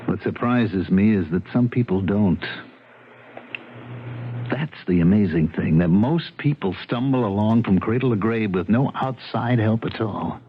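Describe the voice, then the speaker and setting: A man playing an earnest, troubled police officer, ruminating haltingly and repeating himself in a 1970s radio drama. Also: lowish voice, lightly acted. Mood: sombre, pleading. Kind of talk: 1970s radio drama acting, conversational monologue. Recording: muffled, slightly noisy old radio broadcast.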